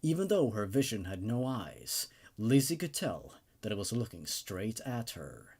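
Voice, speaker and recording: A man hosting and narrating horror stories in a theatrical, expressive way, with casual asides. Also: fairly low pitch, a little slow, slightly rough. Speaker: host and narrator of a horror story reading show.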